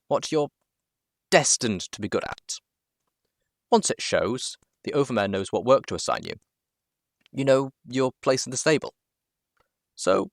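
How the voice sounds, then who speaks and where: A man reading a first-person story aloud in an expressive, theatrical and wry manner, with dramatic pauses. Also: lowish voice, dry recording, clean studio sound. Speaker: amateur story reader. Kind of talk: expressive first-person fan fiction narration.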